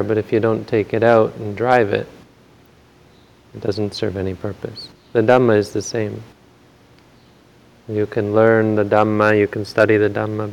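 A man giving a Buddhist Dhamma talk in a very quiet voice, gentle and hesitant, with frequent pauses and restarts. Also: fairly low pitch, vocal fry, poor audio. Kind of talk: soft-spoken Dhamma talk.

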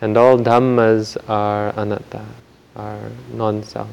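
A man giving a Buddhist Dhamma talk in a very quiet voice, gentle and hesitant, with frequent pauses and restarts. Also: on the low side, level tone, vocal fry, lo-fi sound, slight background noise. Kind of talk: soft-spoken Dhamma talk.

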